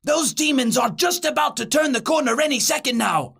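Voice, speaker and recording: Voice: mid-range voice, big pitch swings, moderate pace, gravelly, fairly bright tone, breathy edge, exaggerated voice. A performer voicing a tiny, bossy young boy in a story, urgent and exasperated.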